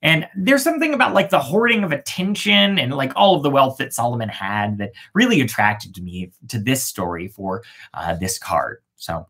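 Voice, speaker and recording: An expressive storyteller with a husky voice that rises and falls a great deal. Phrases are shaped with dramatic pauses. The manner is casual and playful, as a host sharing fairy tales. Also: mid-range voice.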